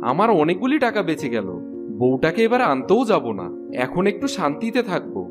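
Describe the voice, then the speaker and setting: Cheapskate cartoon husband, whiny and argumentative, really animated high voice. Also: male voice, brisk, over music. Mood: smug, relieved. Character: stingy, stubborn young village husband. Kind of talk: Bengali cartoon voice acting.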